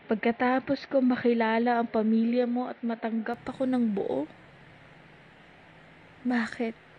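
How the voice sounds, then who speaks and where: A woman with a Filipino accent performing heartfelt spoken-word poetry in short, deliberate phrases with pauses. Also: fairly high pitch, flat delivery, a little hiss or hum. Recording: tinny phone-like sound.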